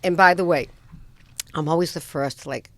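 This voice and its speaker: A woman's voice: low-pitched, moderate pace, no echo. A woman hosting a radio talk show, chatty and enthusiastic, teasing her guests in casual talk.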